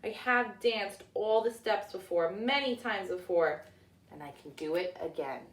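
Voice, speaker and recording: A woman's voice: mid-range voice, measured pace, reverberant, far from the mic, lightly acted, rough recording. A woman voicing a nervous young girl who dreams of being a ballerina, in a storybook reading. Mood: self-reassuring. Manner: anxious self-questioning.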